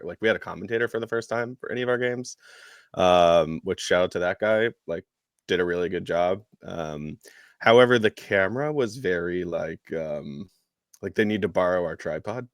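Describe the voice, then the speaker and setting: A man with a low voice talks through sport as a podcast co-host. His delivery is casual and rambling, feeling its way through each point. The sound is close and dry. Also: a bit bright, dry recording. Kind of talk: casual sports chat, match recap.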